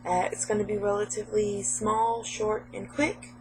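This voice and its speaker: A woman vlogging casually about her game pickups, hoarse and a little sniffly from a cold, chatty and rambling with lots of fillers. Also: mid-range voice, flat delivery, normal speed, darkish tone, faint music behind, poor audio.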